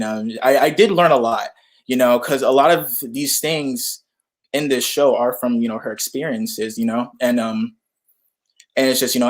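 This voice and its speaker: A man's voice: mid-range voice, low-quality recording. A young man chatting casually as a guest, often repeating himself and searching for words. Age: young adult. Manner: halting, repetitive, searching for words. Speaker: guest, actor in a student radio play. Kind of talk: casual interview chat.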